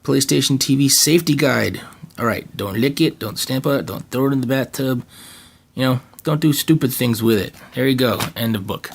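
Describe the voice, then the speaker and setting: Raspy male vlogger, offhand unboxing chatter, jokey asides, faint hiss behind. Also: mid-range voice, moderate pace. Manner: joking asides, frequent fillers and restarts. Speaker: channel co-host doing an unboxing. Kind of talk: casual unboxing commentary. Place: home gaming room.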